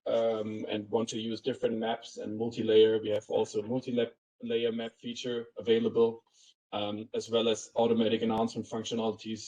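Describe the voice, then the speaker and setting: A man presenting a software product in an explanatory way, hesitant and halting, with many ums and uhs. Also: lowish voice, rough recording.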